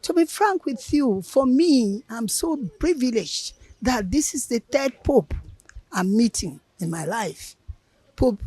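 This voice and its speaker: An older Nigerian woman with a Nigerian English accent, speaking in a slow, earnest way. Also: on the high side, expressive, warm tone, breathy edge, dry recording. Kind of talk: reflective speech.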